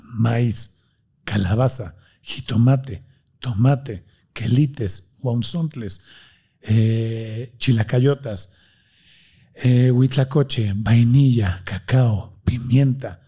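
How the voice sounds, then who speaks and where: Mexican guy in his 30s, studio interview, passionate, kinda husky, warm tone. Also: medium pitch. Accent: Mexican Spanish. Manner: passionate, gestures a lot, lists things rapidly. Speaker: guest. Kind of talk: animated interview conversation. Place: studio with blue-lit curtains. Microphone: studio mic on a desk stand.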